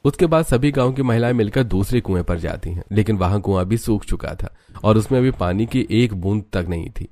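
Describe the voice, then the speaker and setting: Low-voiced male story narrator. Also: fairly quick, hardly any pauses, dark tone. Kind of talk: scripted story narration for a cartoon.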